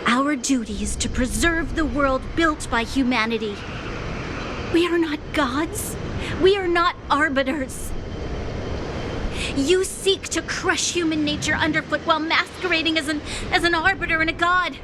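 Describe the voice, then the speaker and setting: An actress playing an earnest, principled young guardian and dutiful daughter in a video game, speaking formally and with resolve. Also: female voice, high-pitched, a little slow, background noise. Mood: resolute, impassioned. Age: young adult. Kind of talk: dramatic video game voice acting.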